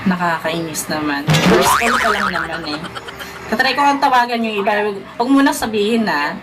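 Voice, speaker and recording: A woman's voice: mid-range voice, expressive, brisk, very noisy. A woman with a Filipino accent chatting casually as a prank call vlogger. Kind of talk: casual vlog chat and phone calls. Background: loud music.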